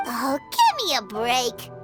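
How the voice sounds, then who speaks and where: A woman voicing a tiny, childlike fairy companion in a video game, cheeky and blunt, with a squeaky voice. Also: huge pitch swings, takes it slowly, heavily acted. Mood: exasperated. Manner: blunt, exclamatory, refers to self in third person. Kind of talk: animated game voice acting. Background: music.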